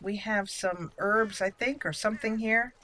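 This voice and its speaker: A woman's voice: mid-range voice, fairly even intonation, unhurried, pushed and bright. A woman hosting a game playthrough, chatting casually and playfully as she thinks aloud. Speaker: gaming channel host.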